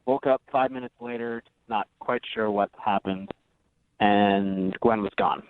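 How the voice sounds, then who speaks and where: A man's voice: on the low side, measured pace, clear, resonant tone. A man calling a radio show over the phone, telling his story in a casual conversational way. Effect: phone line. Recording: dry, narrow phone line.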